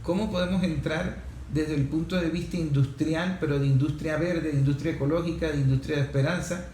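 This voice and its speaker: A Venezuelan man with a fairly high voice presents political commentary as a talk show host. He builds his points phrase by phrase, repeating for emphasis. The room echoes, with faint noise behind him. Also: steady tone, a little fast, darkish tone. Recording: roomy echo, faint background noise.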